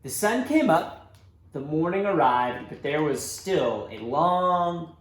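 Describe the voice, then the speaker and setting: A man's voice: fairly high pitch, very animated, slow. A man reading a storybook aloud to children, expressively, with dramatic emphasis and asides to his young listeners. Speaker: church children's storybook reader. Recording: roomy echo, faint background noise.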